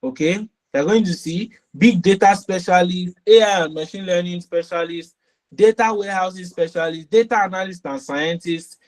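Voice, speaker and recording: A man's voice: high-pitched, moderate pace, poor audio. A man instructing a live online class. He often repeats key phrases and checks in with "okay" and "all right". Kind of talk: live webinar teaching.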